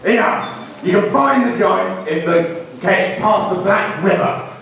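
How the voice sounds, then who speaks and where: A man's voice: high voice, takes it slowly, gravelly, fairly bright tone, slight background noise, heavily acted. A man acting a commanding, ominous quest-giver in an amateur school pantomime, declaiming in a very echoey hall. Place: school stage, filmed before an audience. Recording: very echoey hall, distant camera.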